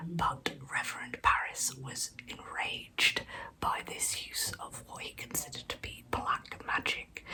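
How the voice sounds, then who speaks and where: Slow, whispering ASMR woman storyteller. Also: fairly low pitch, rough recording. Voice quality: soft, breathy unvoiced whisper. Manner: measured reading with wry, informal asides. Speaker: ASMR storyteller and channel host. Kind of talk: whispered ASMR true crime narration.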